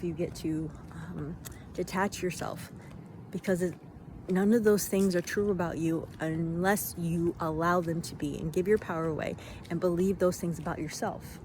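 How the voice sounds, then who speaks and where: A woman giving an unscripted motivational monologue in a reflective way, in halting phrases with deliberate pauses. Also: on the low side, fairly even intonation, a little mellow. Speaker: vlogger giving self-help reflections. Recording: noisy, vehicle-like ambient sound.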